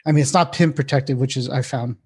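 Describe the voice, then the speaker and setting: A man's voice: mid-range voice, a little fast, a little mellow, husky. A man co-hosting a casual tech chat in an informal, loosely phrased way. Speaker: podcast co-host.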